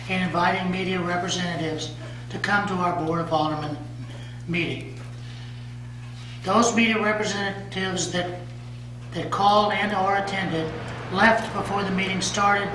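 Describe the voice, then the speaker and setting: A hoarse man chairing a city board meeting, reading a formal, stern prepared statement. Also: high-pitched. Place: council chamber. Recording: echoey hall, far from the mic, with noise.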